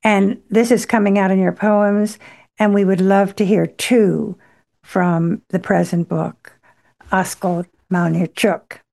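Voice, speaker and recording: A woman hosts an interview with a mellow, low-lit tone and a gravelly edge. She introduces her guest and invites him to share his story in an encouraging way. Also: steady tone, slow. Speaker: host. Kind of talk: interview hosting.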